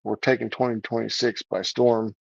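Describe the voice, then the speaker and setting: Gravelly-voiced man hosting a livestream. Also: mid-range voice, flat delivery, moderate pace, dry recording, dull audio. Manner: rambling, with many fillers and restarts. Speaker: livestream show host.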